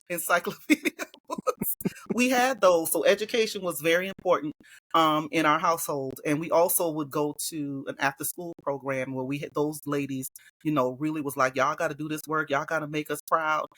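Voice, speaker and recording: Woman, Gullah Geechee accent, podcast guest, relaxed storyteller, dry close sound. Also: low-pitched, fairly quick, dry recording, low-quality recording. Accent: Gullah Geechee, South Carolina Lowcountry. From Hilton Head Island, South Carolina. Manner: relaxed storytelling, quoting others in lively voices. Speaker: guest educator. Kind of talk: casual interview conversation.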